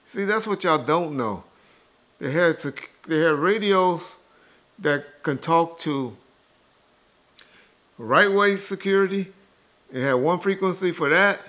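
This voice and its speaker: A man's voice: on the high side, expressive, slow, pauses often, fairly bright tone. A man talking casually and bluntly as an interview guest, dismissive and emphatic, swearing freely and repeating himself to drive points home. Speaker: interview guest rebutting rumors. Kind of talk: casual, profane opinionated talk.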